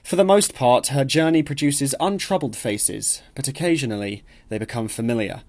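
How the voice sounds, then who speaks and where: A man's voice: mid-range voice, moderate pace, darkish tone. A man narrating a literary novel as an audiobook, reading expressively and acting out the dialogue.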